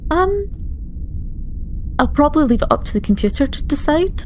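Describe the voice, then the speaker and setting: A woman playing a tabletop game, describing her character's actions in a casual, thoughtful, halting way. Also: sits high, big pitch swings, darkish tone, a little hiss or hum. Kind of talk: casual improvised roleplay description.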